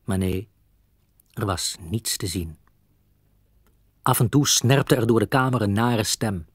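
A man serves as storyteller for a children's audio play. His voice sits on the low side and is recorded dry, in scripted narration. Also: takes it slowly, breathy edge, no room sound.

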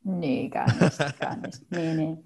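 A young woman from Syria, Arabic native speaker, answering interview questions thoughtfully with many pauses and fillers, over a video call from a small home room. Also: low voice, gravelly, a little mellow, husky. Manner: thoughtful, hesitant with frequent fillers. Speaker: podcast guest.

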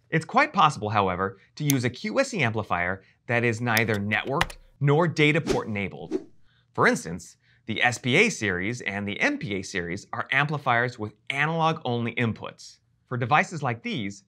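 An American man presents a scripted training lesson in a bright, crisp-edged voice. His pitch moves in a lively way over a mid-range base, and his delivery is articulate and polished. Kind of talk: scripted instructional narration.

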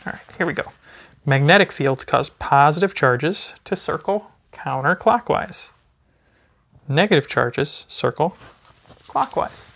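Man, thirties, gentle parent talk, picture-book reading, chatty asides, indoor phone-camera recording. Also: fairly high pitch, lively intonation, measured pace, creaky. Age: 30s. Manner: gentle parent talking to a baby. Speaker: physics teacher. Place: small carpeted or wood-floored room at home, indoors. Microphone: phone camera a few feet away.

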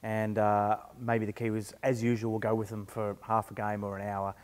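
A middle-aged man, a sports journalist, giving quick, hesitant, analytical opinions on a studio couch. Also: on the low side, monotone, a little mellow, big-room sound. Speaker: journalist panelist. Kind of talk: casual sports chat.

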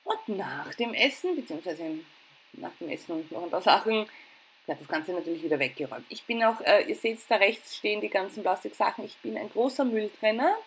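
A middle-aged Austrian-accented woman talks through home chores and cooking as a casual vlogger. Her voice sits at an ordinary pitch, and her delivery is informal and self-deprecating. A light layer of background noise runs behind it. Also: expressive, brisk. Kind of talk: casual voice-over narration of household routine.

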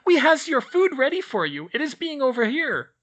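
A man voicing a timid little female house-elf who squeaks and speaks in broken grammar. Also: very animated, moderate pace, a bit bright, heavily acted. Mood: eager, anxious.

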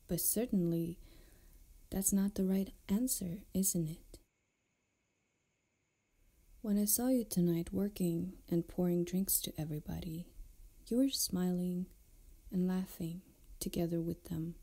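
A woman playing a flirtatious, tender pirate captain in an intimate ASMR roleplay, speaking softly and often close to a whisper. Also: lowish voice, fairly even intonation. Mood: tender, comforting. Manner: soft, breathy, often near whisper, unhurried. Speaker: audio roleplay performer.

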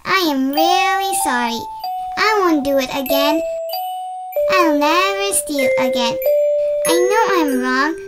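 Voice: sits high, slow, pauses often. An actor voicing a hungry young pet monkey with whiny, childlike pleading in a children's educational cartoon. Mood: sorry. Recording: loud children's music behind.

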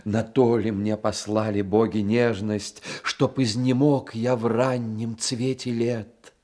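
An actor playing a proud, witty young poet in a radio drama, with measured, declamatory delivery. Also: male voice, fairly low pitch, little pitch movement, mellow. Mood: romantic recital. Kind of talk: Soviet radio drama acting.

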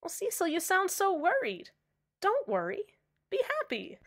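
A woman's voice: very high-pitched, huge pitch swings, normal speed, a bit bright, exaggerated voice. A woman playing a smiling, menacingly cheerful male radio host in a radio drama, sweetly condescending. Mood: sweetly upbeat.

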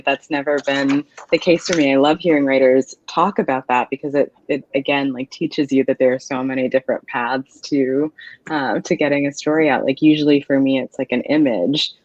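A woman's voice: extremely low pitch, steady tone, normal speed, no room sound. A woman in her thirties hosting an author interview, speaking thoughtfully and measuredly with many "um"s over a video call from a home office. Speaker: interviewer, event host. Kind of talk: interview conversation. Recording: dull, dry video-call audio.

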